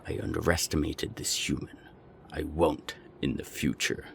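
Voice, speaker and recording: A man playing a menacing naga gang boss in an audio roleplay, gravelly and deliberate, with cold, taunting threats. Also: a little hiss or hum. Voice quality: very deep, gravelly. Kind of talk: audio roleplay drama acting.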